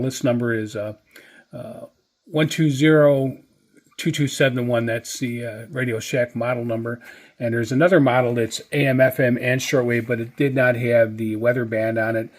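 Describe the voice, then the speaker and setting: A man giving a casual, unscripted product review in a halting, hesitant way, often repeating phrases. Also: mid-range voice.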